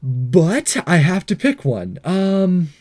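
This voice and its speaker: A man's voice: sits high, sing-song, slow pace, darkish tone. A man giving casual, hesitant and wry game commentary, reading some of the text aloud as he plays. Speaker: let's-play host.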